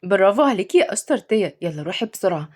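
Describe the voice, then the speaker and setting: Woman acting as young nanny, fast speech, sweet, coaxing, tender, polite, audio story. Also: mid-range voice, slightly rough. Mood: affectionate. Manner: tender, expressive.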